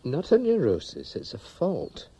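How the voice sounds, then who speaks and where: An actor playing an earnest young wartime RAF pilot in a radio drama, speaking in an understated way. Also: male voice, deep voice, lively intonation, normal speed, dark tone, breathy edge. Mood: earnest, defensive. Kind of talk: 1980s radio drama acting.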